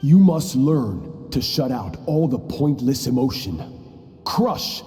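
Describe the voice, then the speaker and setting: A cold, severe male master from a video game, lays down discipline in a dark-toned voice. He speaks slowly and with command. Music sits behind him in a big reverberant space. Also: mid-range voice, big pitch swings. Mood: stern. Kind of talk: video game story voice acting.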